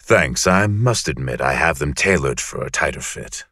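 An actor playing a suave, flirtatious, polite male surgeon with a deep, husky voice in a romantic comedy audio drama. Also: lively intonation, moderate pace, bright, forceful tone, no room sound, studio quality, full character voice.

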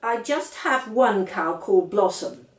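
A woman's voice: medium pitch, expressive, unhurried, roomy sound, distant mic, lightly acted. A woman voicing a small, determined and scornful little girl in a storybook reading, emphatic and matter-of-fact.

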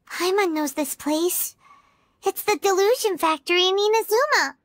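A woman voicing a tiny, excitable childlike fairy companion in an anime game, squeaky and exclamatory. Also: measured pace. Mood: curious, uneasy. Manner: squeaky, exclamatory, refers to self by name. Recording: game music underneath.

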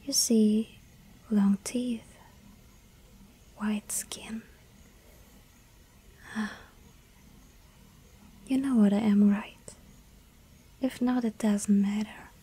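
A woman's voice: mid-range voice, fairly even intonation, extremely slow, long pauses, exaggerated voice. Whispering vampire girl, voiced by a woman. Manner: breathy soft whisper, pleading. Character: lonely yandere vampire girl, sweet and hungry. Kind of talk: ASMR roleplay whispering.

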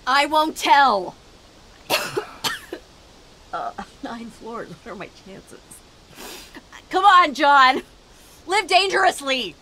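An actress playing a sick but stubborn survivor, insistent and wry, in an audio drama. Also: female voice, sits high, animated, extremely slow, pauses often, very noisy, exaggerated voice. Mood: urgent pleading. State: sick, coughing. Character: sick, stubborn, daring woman survivor pleading for escape.

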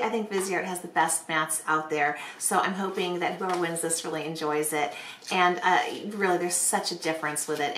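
Casting: middle-aged female beauty vlogger, bright but a bit hoarse, casual chatty delivery, roomy indoor sound. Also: medium pitch, a little hiss or hum. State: hoarse from bronchitis. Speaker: beauty vlogger hosting a giveaway. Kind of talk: casual chatty product presentation to camera. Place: small bedroom, indoors. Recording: roomy, echoey bedroom sound.

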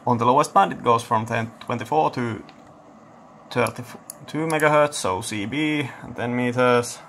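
A man giving a casual technical demonstration, thinking aloud in a halting, matter-of-fact way. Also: mid-range voice, gravelly, a bit bright, a little hiss or hum. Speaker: electronics hobbyist presenter. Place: indoor electronics workbench.

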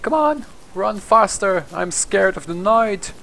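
A man's voice: sits high, expressive, takes it slowly, creaky, bright, forceful tone, slight background noise. A young man with a German accent, a non-native English speaker, casually commentating his gameplay, rambling, swearing and laughing at himself. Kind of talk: casual let's play commentary. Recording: game music behind the voice.

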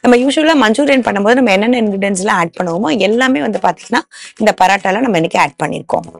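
A woman's voice: mid-range voice, very fast, vocal fry. A woman in her thirties hosting a cooking show, explaining each step plainly from a studio kitchen.

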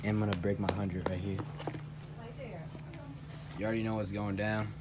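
A man's voice: lowish voice, level tone, normal speed, very noisy. A teenage guy vlogging on a handheld phone in a big store, joking and hyping with his friends. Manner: joking, hyped banter with friends. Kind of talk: casual handheld vlog. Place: large store and gym locker room, indoors. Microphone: handheld phone camera.

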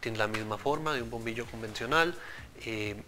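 A man's voice: mid-range voice, moderate pace, sharp tone. A man, a product engineer explaining things earnestly in a TV studio interview on a lapel mic. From Central America. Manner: explanatory, earnest, addresses host directly.

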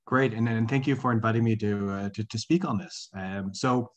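A man's voice: on the low side, moderate pace, muffled recording. A middle-aged man giving an academic presentation over a video call, with many fillers and restarts. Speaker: guest speaker, associate professor. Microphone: video call webcam.

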